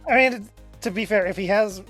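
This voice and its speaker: A woman's voice: medium pitch, steady tone, brisk, a bit bright, faint music behind. A woman chatting casually as a player in a tabletop role-playing game. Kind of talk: casual chat.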